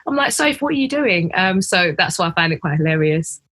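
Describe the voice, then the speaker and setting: A woman's voice: lowish voice, sing-song, a little fast, fairly bright tone. A woman hosting a podcast interview, friendly and giggly, with lots of ums. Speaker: podcast host.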